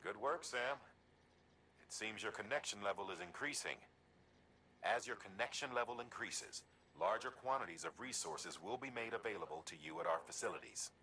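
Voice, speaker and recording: A practical, steady operations man in a video game, with a deep voice. He gives matter-of-fact briefings over clearly audible ambient noise. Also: normal speed. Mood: businesslike. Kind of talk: scripted mission briefing.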